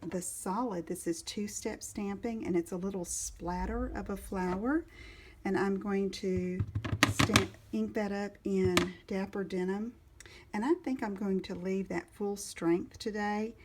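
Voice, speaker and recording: A woman walks through a card-making project at her craft table, narrating each step in a relaxed, slow way as she works. Her voice sits at an ordinary middle pitch, with a little faint noise in the background. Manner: unhurried, talks while working, stops to search for supplies. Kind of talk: casual step-by-step craft tutorial.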